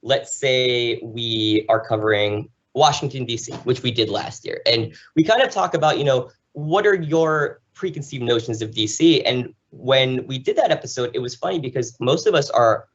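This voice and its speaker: An American man from New Jersey talking as an interview guest in an informal, animated, explanatory way. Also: medium pitch, moderate pace, few pauses, highs cut off. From New Jersey, United States. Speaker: podcast guest, travel show host. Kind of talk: conversational interview, enthusiastic storytelling.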